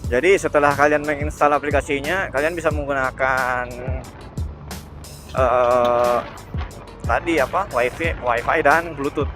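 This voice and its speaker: A man's voice: mid-range voice, fairly even intonation, a little slow, pauses often, music in the background. A man giving a casual vlog explanation, hesitant and often correcting himself. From Indonesia. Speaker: motovlogger, host. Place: on a motorbike, outdoors.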